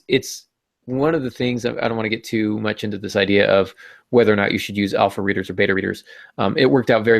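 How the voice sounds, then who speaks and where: A man's voice: lowish voice, creaky, dry recording. A man co-hosting a podcast, chatting casually about writing craft and jokingly acting out quotes. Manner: jokey, acts out mock quotes. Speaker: podcast co-host. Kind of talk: casual writing-advice discussion.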